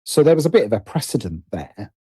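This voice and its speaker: A man's voice: mid-range voice, very animated, quick pace, warm tone, husky, no echo, studio quality. A middle-aged British man talking casually and reflectively as an interview guest, hesitant and self-deprecating as he thinks aloud. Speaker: podcast guest, writer and producer.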